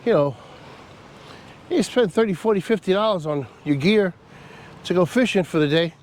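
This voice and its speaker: A man's voice: high-pitched, expressive, moderate pace, a little mellow, slight background noise. Chatty man with a stuffy nasal cold. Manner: chatty, rambling, laughs at himself. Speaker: travel vlogger. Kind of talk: casual walking vlog. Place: outdoor park by a causeway bridge.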